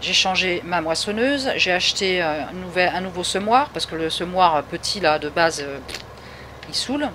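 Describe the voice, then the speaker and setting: A woman's voice: lowish voice, lively intonation, moderate pace, pushed and bright, a little hiss or hum. A woman from Paris with a Parisian French accent, chatting casually through a live game stream and answering viewers. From Paris, France. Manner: chatty, answers chat viewers, laughs often. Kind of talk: casual live gameplay commentary.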